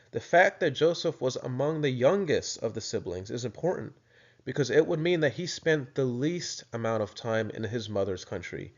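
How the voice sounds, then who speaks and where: A man's voice: mid-range voice, darkish tone, highs cut off. An American man presenting a video lesson in a casual, explanatory way, often restarting his sentences. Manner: thoughtful, with frequent restarts and fillers.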